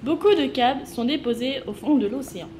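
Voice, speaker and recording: A woman's voice: high-pitched, brisk, a little mellow, a little hiss or hum. A woman reading scripted educational narration for children, explaining things and addressing the viewers directly.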